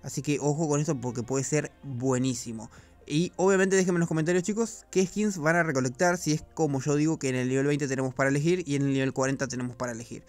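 A man's voice: fairly high pitch, fast, soft background music. A man giving casual, slangy commentary on video game news, with the odd swear word. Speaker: gaming YouTuber.

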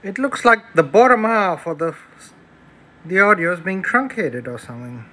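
A man's voice: sits high, expressive, moderate pace, sharp tone. A man giving casual, hands-on commentary as he demonstrates electronics at an indoor workbench. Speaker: electronics hobbyist presenter. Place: small indoor room at a workbench.

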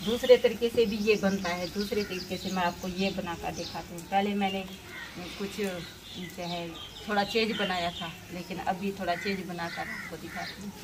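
A woman's voice: fairly low pitch, steady tone, normal speed. A young woman from Pakistan talking viewers through her cooking in a casual vlog, explaining each step. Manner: chatty, explains each step to viewers, heavy use of filler phrases. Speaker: village life vlogger. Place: outdoor village courtyard. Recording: outdoor camera audio with clear background noise. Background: outdoor ambience with birds and animals.

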